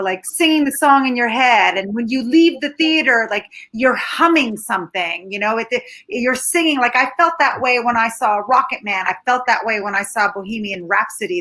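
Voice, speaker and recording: A woman from Canada whose first language is Ukrainian, giving candid, animated opinions as a film critic. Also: on the high side. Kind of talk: casual film review chat.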